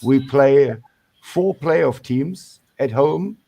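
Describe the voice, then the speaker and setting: A man with a German accent, older, talks in hesitant stop-start bursts. His voice has a gravelly fry to it. He is calling in for a casual chat about football. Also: mid-range voice, warm tone, muffled recording. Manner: halting, many restarts and fillers. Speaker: caller.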